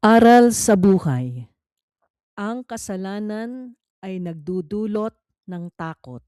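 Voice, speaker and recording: A Filipino woman narrating a scripted read-along Bible quiz in a measured, deliberate way with pauses between phrases. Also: mid-range voice, expressive, dark tone, smooth, no echo, clean studio sound.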